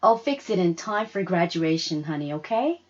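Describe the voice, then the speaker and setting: A woman's voice: lowish voice, expressive, moderate pace, lo-fi sound. A woman voicing a hard-working, firm but caring mother in a storybook reading. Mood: gentle, reassuring.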